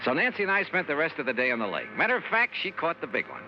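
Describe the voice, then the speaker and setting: An actor playing a wry, impatient but good-natured investigator who also narrates, in 1950s radio drama style. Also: male voice, on the high side, wildly swooping pitch, rapid-fire. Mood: wry narration. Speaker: lead detective and narrator. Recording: dull old 1950s radio recording, music.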